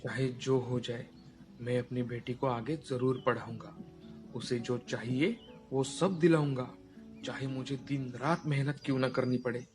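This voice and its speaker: A man's voice: mid-range voice, steady tone, a little slow, natural voice. A male actor as a hardworking, devoted father in a children's moral tale. He speaks earnestly in a mid-range voice, with light music underneath. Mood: determined. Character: earnest, devoted working-class father of a schoolgirl. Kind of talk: dramatised children's story dialogue.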